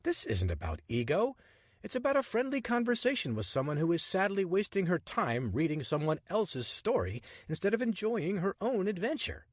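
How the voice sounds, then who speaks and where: A man playing a brash young adventurer who talks people round with breezy enthusiasm, his voice lifted and swooping widely. The tone is a little husky and sits dry and close to the mic. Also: sits high, sing-song, moderate pace, no room sound, pitched above the performer's own voice. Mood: enthusiastic. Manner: breezy, persuasive, expansive. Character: bold, chatty young male adventurer.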